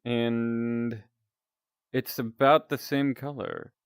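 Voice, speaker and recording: A man with a deep, announcer-like voice, chatting casually with viewers while he plays a game. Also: very slow, clean tone, no echo, studio quality. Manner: relaxed, rambling, chatting to viewers. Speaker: streamer.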